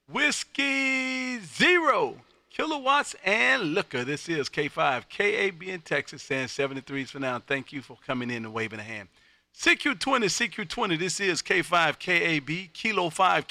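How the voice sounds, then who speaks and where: Bright, forceful man on ham radio. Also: on the high side, vocal fry, no room sound. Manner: exuberant, repeats phrases, folksy. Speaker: amateur radio operator and livestream host. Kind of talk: on-air ham radio chat, livestreamed. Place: home radio room.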